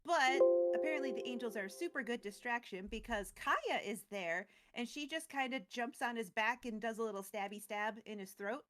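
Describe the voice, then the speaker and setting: A woman's voice: on the high side, expressive, moderate pace, hardly any pauses, a bit bright, soft background music. A woman co-hosting a recap show, retelling a story casually with playful, wry, chatty asides. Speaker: co-host of a lore recap show.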